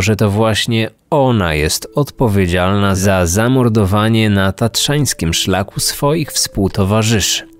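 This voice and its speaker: A man's voice: fairly low pitch, dry recording. A man hosting a true-crime podcast in scripted narration. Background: music under the voice.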